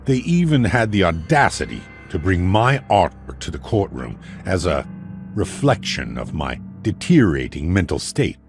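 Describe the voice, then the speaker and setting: A man's voice: low voice, exaggerated voice. A man playing a bitter, pious older artist and former teacher in a brooding, theatrical dramatic monologue.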